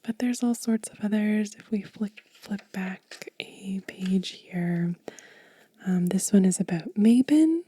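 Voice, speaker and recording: A woman speaking gently and unhurriedly in a soft, whispery, breathy voice, close to the mic, as an ASMR host showing and telling. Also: mid-range voice, slow pace, dry recording. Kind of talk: soft-spoken ASMR show and tell.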